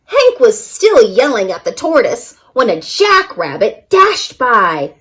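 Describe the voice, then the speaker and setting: A woman's voice: on the high side, huge pitch swings, takes it slowly, fairly bright tone, slightly rough. A woman reading a picture book aloud expressively for young children, as a story-time reader.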